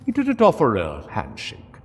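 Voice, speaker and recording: A man narrating a sombre story in a solemn, hushed and deliberate way. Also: mid-range voice, wildly swooping pitch, in a large hall, a little hiss or hum.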